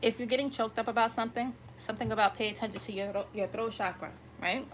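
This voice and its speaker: A woman with a slightly raspy voice giving an improvised tarot reading to viewers, conversational and often checking in with listeners. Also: on the high side, flat delivery, quick pace, a little hiss or hum. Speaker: tarot reader hosting an online collective reading.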